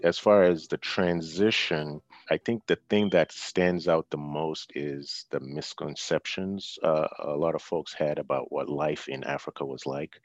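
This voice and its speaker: A middle-aged man with an extremely deep voice. He talks thoughtfully, with lots of fillers and restarts, as a guest in a casual interview. The recording is very dry and a little dull. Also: dry recording. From Nigeria and the US.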